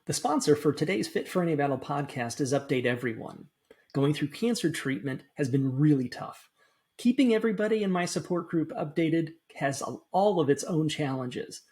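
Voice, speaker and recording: A woman's voice: low voice, animated, moderate pace, a little mellow. A woman reading a scripted first-person sponsor message for a podcast.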